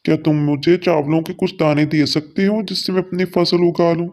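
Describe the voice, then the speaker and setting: A man's voice: a little high, fairly even intonation, normal speed, a little mellow, lightly acted. A man voicing a kindly, earnest father sparrow in a cartoon story, with a reassuring manner. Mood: earnest, pleading.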